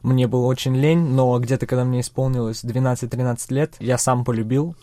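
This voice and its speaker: A young man who grew up in Czechia answers interview questions in a measured, hesitant way with many fillers. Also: mid-range voice, fairly even intonation, dark tone, no room sound. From Czech Republic. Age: young adult.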